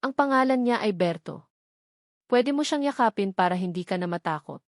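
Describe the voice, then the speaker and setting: A woman narrator voicing a gentle, reassuring male politician in a story, speaking in a soothing, measured way. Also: medium pitch, expressive, dry recording, studio quality, close to an everyday voice. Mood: gentle, comforting. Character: gentle, reassuring man, a politician comforting a child.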